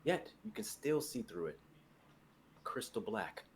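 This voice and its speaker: A man's voice: on the low side, sing-song, a little slow, slight background noise. A young man reading scripted dramatic fantasy narration for a livestream. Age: young adult.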